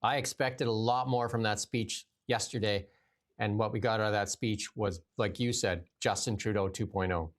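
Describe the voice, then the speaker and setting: A Canadian man co-hosting a casual political talk show, exasperated and sarcastic, his voice jumping higher when he gets riled. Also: fairly even intonation, moderate pace. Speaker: co-host.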